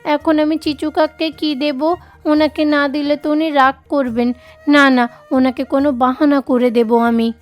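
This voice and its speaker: A woman's voice: high voice, steady tone, moderate pace, soft background music, close to an everyday voice. A woman voicing a kind, hardworking mother sparrow in a children's cartoon, speaking in an earnest, coaxing way. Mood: anxious.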